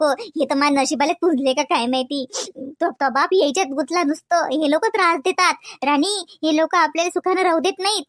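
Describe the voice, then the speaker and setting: A performer playing a bitter, quarrelsome young wife and mother, who complains rapidly and repeats herself. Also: very high-pitched, a bit bright, no room sound, clean studio sound. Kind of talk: homemade family skit.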